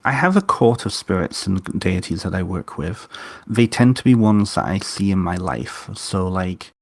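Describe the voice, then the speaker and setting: A man with a very low, deep voice and a Welsh-tinged British accent tells an old legend with eager enthusiasm, restarting phrases as he goes. The sound is dry and close, with no room around it. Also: sing-song, normal speed, dry recording. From Wales. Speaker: podcast guest, author and witch. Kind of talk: casual storytelling interview.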